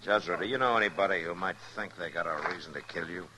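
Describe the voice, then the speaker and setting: A steady, weary frontier marshal acted by a man with a low voice and understated, laconic delivery. It comes from an old radio western, with clear noise on the recording. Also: fast talker, natural voice. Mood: urgent, firm. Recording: noisy vintage radio recording.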